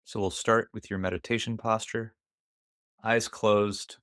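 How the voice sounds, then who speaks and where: A man leading a guided meditation, speaking deliberately in short phrases with pauses between them. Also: fairly low pitch, level tone, a bit bright, dry recording, clean studio sound.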